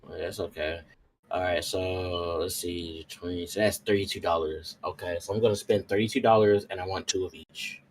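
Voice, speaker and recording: A man's voice: low-pitched, steady tone, moderate pace, slight background noise. A man chatting casually as a player in a tabletop roleplay, repeating words as he works things out. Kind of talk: casual game chat.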